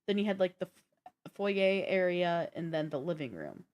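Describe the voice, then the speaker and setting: Female co-host, slightly low pitch, loose conversational gaming-news chat, works things out as she speaks. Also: animated, normal speed, dull audio. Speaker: podcast and live stream co-host.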